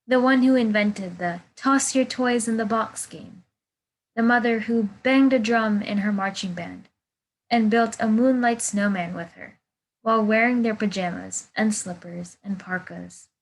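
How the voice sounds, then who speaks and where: A woman's voice: medium pitch, a little slow, low-quality recording. A woman volunteer reading a children's picture book aloud over a video call. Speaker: volunteer storybook reader. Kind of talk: children's storybook read-aloud.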